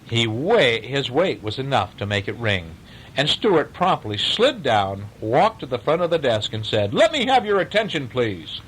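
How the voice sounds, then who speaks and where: A man reads a bedtime story in a middle-pitched voice with very lively intonation. He slips easily into chatty, questioning asides for a young listener. A faint rumbling noise runs under the recording. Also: measured pace, poor audio. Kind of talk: animated bedtime story reading with casual asides.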